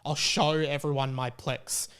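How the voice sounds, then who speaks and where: A man with an Australian accent and a fairly high voice talks casually as a solo podcast host. His delivery is conversational and loose, wandering as he goes. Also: little pitch movement, measured pace, fairly bright tone, clean tone. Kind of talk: casual solo talk show.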